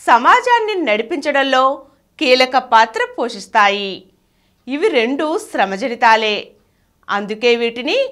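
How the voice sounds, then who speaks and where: A woman's voice: high voice, a bit bright. A woman reads a scripted informational article aloud in a formal way, phrase by phrase. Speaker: narrator reading an article aloud.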